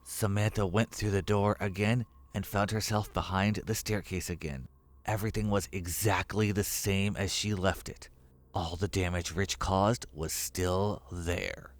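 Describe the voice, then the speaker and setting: Deep-voiced man narrating a horror story. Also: steady tone, a little slow.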